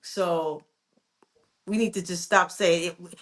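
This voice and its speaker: A woman's voice: lowish voice, fairly even intonation, slow, fairly bright tone. A woman born in Jamaica who grew up in New York, co-hosting a casual morning talk show and making her points emphatically.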